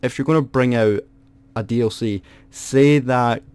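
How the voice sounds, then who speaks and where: A man's voice: mid-range voice, measured pace, darkish tone, clear, resonant tone, dry recording. Rambling man venting about a game. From United Kingdom. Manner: rambling, frequent restarts and self-corrections; complaining, aggrieved. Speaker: gaming video host. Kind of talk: unscripted gaming commentary to camera.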